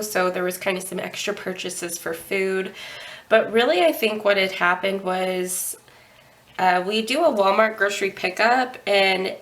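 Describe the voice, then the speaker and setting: A young woman walks through her budget with a stuffy, nasal head-cold voice. She talks slowly and unhurriedly, with a gravelly edge. Ums and throat clearing come often. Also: mid-range voice, fairly bright tone. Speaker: budgeting channel host. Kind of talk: casual budget walkthrough.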